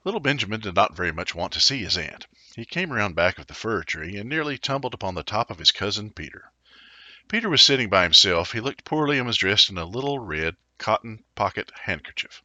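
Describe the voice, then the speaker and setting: A man reading a children's story aloud in an animated, theatrical way. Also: fairly low pitch, brisk, no room sound, muffled recording. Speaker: storybook reader. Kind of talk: expressive children's story narration.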